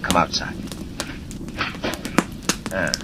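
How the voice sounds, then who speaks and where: Cold, measured villain, old noisy radio. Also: male voice. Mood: cold menace. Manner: measured, deliberate, ominous, formal diction. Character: cold, commanding male villain, a menacing gang boss. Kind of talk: 1940s radio serial acting.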